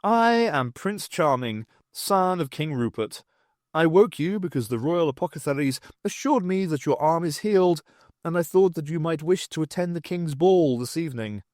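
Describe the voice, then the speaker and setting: A man's voice: on the high side, lively intonation, moderate pace, darkish tone, no echo, studio quality, natural voice. Man doing a smug courtly fairy-tale prince. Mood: gallant. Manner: courtly, formal and self-assured.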